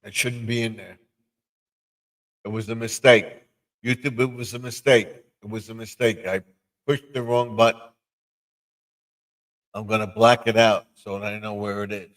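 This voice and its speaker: A man with a fairly low voice hosts a casual livestream. He talks in short, halting phrases and often repeats himself. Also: flat delivery, fairly bright tone, low-quality recording. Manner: repetitive, halting. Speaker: livestream host.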